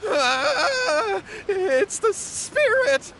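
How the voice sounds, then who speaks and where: An actor playing an anxious, remorseful young brother in a video game, hesitant and pleading. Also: male voice, very high-pitched, sing-song, drawn-out words, background noise, exaggerated voice. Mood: terrified.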